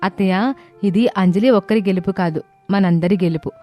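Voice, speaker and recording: A woman's voice: mid-range voice, normal speed, dark tone, faint music behind, lightly acted. Woman playing a dutiful, firm young daughter-in-law. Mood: encouraging.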